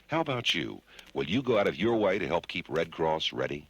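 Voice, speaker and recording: A man with a deep, husky voice reads a radio charity appeal. His pitch moves expressively, and the studio sound is dry. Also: sing-song, a little fast.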